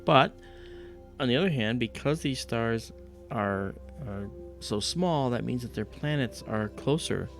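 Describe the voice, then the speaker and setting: A man with a deep voice from a damaged vocal cord, hosting a livestream in casual, explanatory chat with animated storytelling. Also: unhurried, soft background music. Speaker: livestream host and astronomy presenter.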